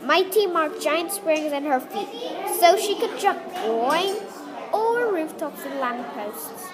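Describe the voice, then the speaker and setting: A woman's voice: sits high, expressive, slow pace. A woman reading a children's story aloud. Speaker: book reader at a read-aloud event. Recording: busy crowd noise behind the voice.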